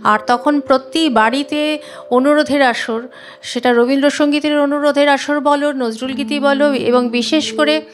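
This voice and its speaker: A woman's voice: high voice, fairly even intonation, normal speed, a little hiss or hum. A middle-aged woman with a Bengali accent, chatting casually as an interview guest and reminiscing. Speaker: guest singer.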